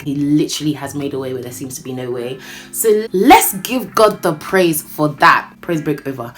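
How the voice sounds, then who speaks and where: Woman, 20s, from Nigeria; low-pitched, chatty and playful vlogger tone. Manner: chatty and playful, jokes and laughs often. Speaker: YouTuber. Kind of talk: casual Q&A vlog.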